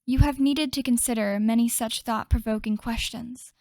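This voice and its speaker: A woman playing a solemn storyteller, narrating a fantasy game legend in a measured way. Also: a little high, fairly even intonation, a little mellow, dry recording, natural voice.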